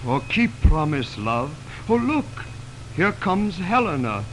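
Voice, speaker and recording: Young male romantic lead, high-pitched, declamatory and ardent, Shakespeare on vintage radio, strong background hiss. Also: big pitch swings, takes it slowly. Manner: declamatory, theatrical. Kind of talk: 1920s radio Shakespeare acting. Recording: noisy 1929 radio aircheck on film.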